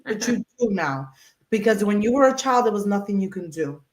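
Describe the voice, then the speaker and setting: A woman hosting a podcast in casual chat, talking in an animated, emphatic way and explaining with everyday examples. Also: mid-range voice, a little fast, echoey room. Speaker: podcast host.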